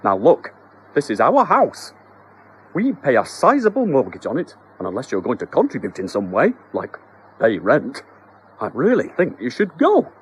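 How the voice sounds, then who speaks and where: A man's voice: a little high, very animated, slow pace, darkish tone, slight background noise, pitched above the performer's own voice. A man voicing a flustered, pompous husband trying to sound stern.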